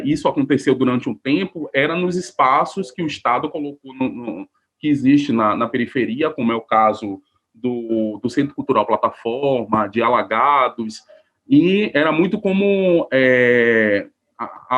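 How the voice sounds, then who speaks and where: A man's voice: medium pitch, slightly rough. A man from Salvador, a researcher giving a conversational academic talk on a video call, with many fillers and restarts. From Salvador, Bahia, Brazil. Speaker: researcher and panelist.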